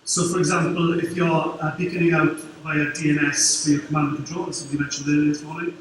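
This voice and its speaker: A middle-aged man from Wales with a Welsh accent, giving a quick, informal conference talk with dry humour from a lectern. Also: fairly high pitch, little pitch movement, slight background noise. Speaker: conference speaker. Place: large dark conference hall. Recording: very echoey hall, distant mic.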